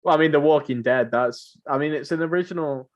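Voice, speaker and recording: A young man co-hosting a podcast in casual chat, speaking haltingly and often repeating his phrases. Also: fairly high pitch, lo-fi sound. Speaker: podcast co-host.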